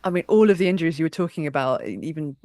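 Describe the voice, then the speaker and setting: An interviewer chats casually at a middling pitch. The delivery is animated and emphatic, wandering from thought to thought. The sound is dry, with little room around it. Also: very fast, no echo.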